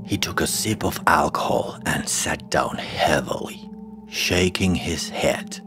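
A man narrating a scary folktale in a dramatic, deliberate storytelling manner. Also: very deep voice. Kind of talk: scripted horror folktale narration. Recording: music behind the voice. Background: music.

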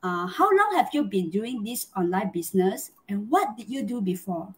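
A Malaysian woman hosts an online live chat. Her mid-pitched voice comes over a video call from a room at home. She talks in an effusive, chatty way to her audience and guest. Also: sing-song, normal speed. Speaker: host. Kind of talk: live chat interview hosting. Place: small home room, indoors.

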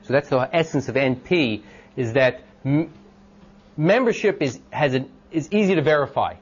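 A man with a loud voice giving an informal classroom lecture, thinking aloud with many ums and restarts and asking the class questions. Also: medium pitch, lively intonation, moderate pace, husky. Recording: dull lo-fi lecture recording.

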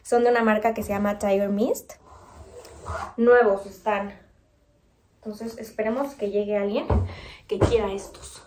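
A woman's voice: medium pitch, fairly even intonation, measured pace, pauses often, darkish tone, a little hiss or hum. A young woman in her twenties with a Mexican Spanish accent, chatting casually to her phone camera as she prices and shows clothes. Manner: chatty, pricing and showing clothes. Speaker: vlogger. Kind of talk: casual vlog talk to camera. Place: bedroom at home, then outdoor bazaar. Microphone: handheld phone camera.

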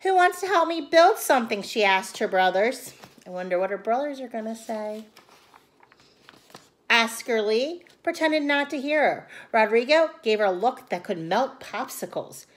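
A woman in her thirties reading a picture book aloud to children like a teacher, in an expressive way. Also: a little high, unhurried. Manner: expressive, addressing young children. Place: small room at home, indoors.

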